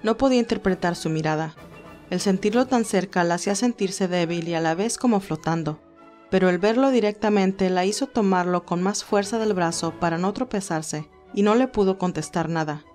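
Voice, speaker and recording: A woman's voice: mid-range voice, normal speed, a little mellow. A woman narrator reading a scripted romantic story. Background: music.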